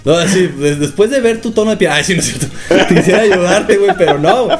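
Fast-talking slangy Mexican young man. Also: sits high. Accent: Mexican, from Guadalajara. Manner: rapid, slangy, swears a lot. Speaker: podcast co-host. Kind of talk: casual podcast chat.

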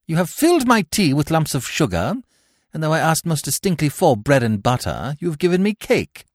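A man's voice: medium pitch, animated, moderate pace, darkish tone, dry recording, clean studio sound, pitched above the performer's own voice, close to an everyday voice. A man voicing a haughty young society lady from the city, affectedly polite and satirical. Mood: disdainful.

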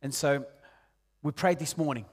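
Earnest Peruvian-born preacher, late forties. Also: male voice, moderate pace. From Peru. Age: 40s. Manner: earnest, rises loud on key points and drops soft and low. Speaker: pastor. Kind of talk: sermon.

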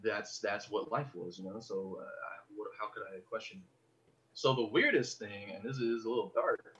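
An American man chatting casually on a podcast, telling personal stories in an animated, rambling, slangy way and swearing freely. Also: lowish voice, normal speed, highs cut off. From Los Angeles area, United States. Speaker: podcast host.

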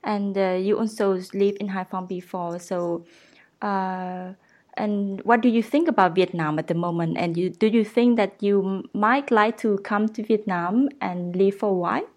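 Woman interviewer, mid pitch, supportive, prompting the guest with questions. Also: moderate pace, a little mellow. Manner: encouraging, frequent backchannel murmurs.

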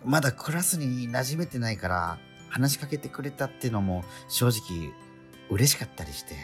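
A man's voice: medium pitch, very animated, moderate pace, faint music behind. A man playing a bemused, good-natured transfer-student schoolboy, reacting like a puzzled straight man in a radio drama. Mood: gentle, sincere.

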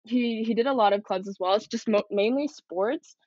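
A woman's voice: fairly high pitch, normal speed, a little mellow, dry recording, dull audio. A young woman from Canada, a military cadet, answering interview questions in an earnest, reflective way. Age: young adult.

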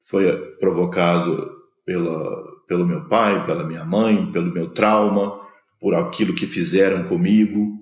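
A man from Minas Gerais, Brazil, with a Minas Gerais accent, gives an unhurried spiritual lesson in a rather low voice. He talks slowly and pauses between short phrases. The sound carries a noticeable room echo. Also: fairly even intonation. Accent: Brazilian Portuguese, Minas Gerais. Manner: slow, measured, pausing between phrases. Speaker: spiritual teacher. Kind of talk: spoken lecture on scripture.

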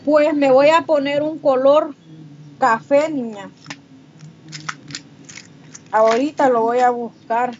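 High-pitched Honduran woman, muffled noisy stream. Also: fairly even intonation, takes it slowly, long pauses, a little mellow. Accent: Honduran Spanish. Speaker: livestreamer chatting with viewers while doing makeup. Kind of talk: casual chat reading and answering comments. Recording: muffled, noisy livestream audio.

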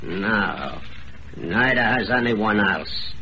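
An actor playing a boastful, cruel hobo murderer, drunk and wary, with rough slangy American speech in an old-time radio drama. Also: male voice, fairly low pitch, expressive, extremely slow, sharp tone, heavily acted. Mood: suspicious. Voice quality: rough uneducated slangy diction. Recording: dull, noisy old radio recording.

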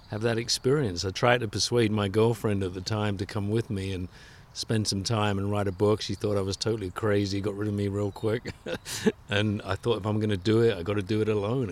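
A man's voice: fairly low pitch, flat delivery, moderate pace. An older man with an English accent, a guest author telling stories of his travels with self-deprecating chuckles. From England. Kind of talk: relaxed storytelling interview.

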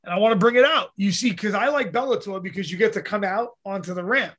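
High-voiced New man chatting. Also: fast, a bit bright. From New York. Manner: rambling, swears freely, acts out his own quotes. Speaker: podcast host, mixed martial arts fighter. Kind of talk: casual profane chat.

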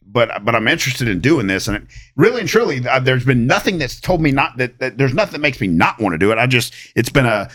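A man in his late thirties, a podcast guest talking casually and haltingly, with lots of restarts and fillers. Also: mid-range voice, sing-song, a bit bright. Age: 30s.

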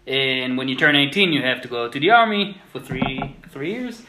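A man in his thirties from Israel, speaking as a podcast guest in short, halting, thoughtful phrases. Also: medium pitch, animated, background noise. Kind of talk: conversational interview. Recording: roomy echo.

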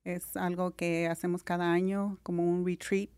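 Mexican-accented woman, middle-aged, smooth flat low delivery, relaxed guest with fillers. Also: normal speed, dry recording. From Mexico (Jalisco). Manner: relaxed, many "am" fillers, mixes English words. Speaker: guest, registered nurse. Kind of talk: casual interview.

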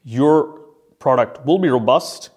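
A man's voice: mid-range voice, slow pace, darkish tone, slightly rough. A man hosting a podcast, explaining like an expert trainer in a conversational, emphatic way and repeating key phrases for stress. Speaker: podcast host and functional safety consultant and trainer.

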